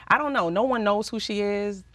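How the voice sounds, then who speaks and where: A woman recording artist with a raspy voice, answering interview questions casually and reflectively, often pausing with ums. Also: mid-range voice, a bit bright, dry recording. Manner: reflective, pauses with frequent ums.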